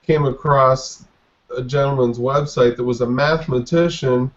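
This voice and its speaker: A man in his forties from the United States talking casually as an interview guest, in a halting, reflective way. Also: medium pitch, fairly even intonation, muffled recording. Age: 40s. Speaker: podcast guest, artist.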